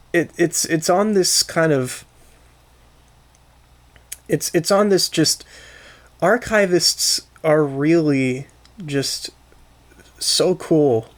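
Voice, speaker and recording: Slow, halting man chatting on a podcast. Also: a little high. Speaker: podcast host. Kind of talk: casual podcast conversation.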